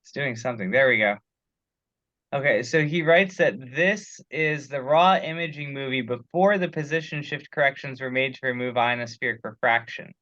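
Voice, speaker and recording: A man's voice: mid-range voice, normal speed, fairly bright tone, no room sound. Man on a muffled conference call. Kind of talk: casual technical discussion. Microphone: teleconference call.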